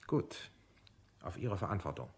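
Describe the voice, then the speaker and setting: An actor playing a measured, cautioning military major in a German radio drama, formal and clipped in his disapproval. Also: deep voice, very animated, creaky, heavily acted. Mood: stern warning. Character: measured, cautioning military major running drug interrogation equipment.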